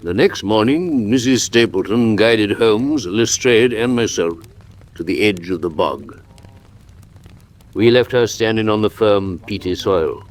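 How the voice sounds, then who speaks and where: An actor playing a doctor narrating his detective memoir in a radio drama, with measured, atmospheric delivery. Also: lowish voice, close to an everyday voice.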